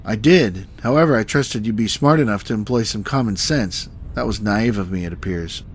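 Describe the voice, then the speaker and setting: An actor playing a stern, weary starship captain in a science fiction audio drama, speaking in a measured, articulate way. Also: male voice, fairly low pitch, natural voice. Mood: stern, reproving. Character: stern, weary male starship captain, dryly self-doubting. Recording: faint background ambience noise.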